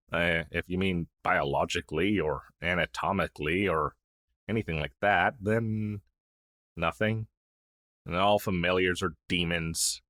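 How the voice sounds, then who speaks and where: A man acting as a male crow demon, once a witch's familiar and now down on his luck, in an ASMR fantasy roleplay. His voice is on the low side and very animated, swinging up and down as he stammers earnestly. The sound is close and dry. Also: no room sound, heavily acted. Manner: earnest, flustered, hesitant stammering.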